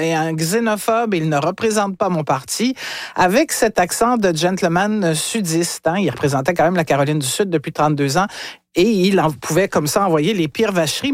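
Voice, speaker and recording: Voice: mid-range voice, no echo. The presenter you would hear hosting a current affairs programme from a tight, dry radio studio. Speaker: news host. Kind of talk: news presentation and interview.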